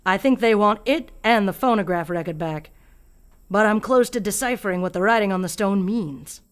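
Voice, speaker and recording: An actress as an older country woman in a radio play, with a mid-pitched voice. She is fearful yet stubborn, telling what she knows in a grave, deliberate way. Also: natural voice. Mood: uneasy, resolute. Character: fearful, stubborn old rural woman guarding alien secrets.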